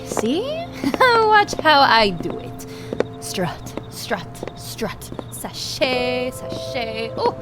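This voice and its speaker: A woman playing a flamboyant, confident and teasing best friend in an audio drama, theatrical. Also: on the high side. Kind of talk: full-cast audio drama acting.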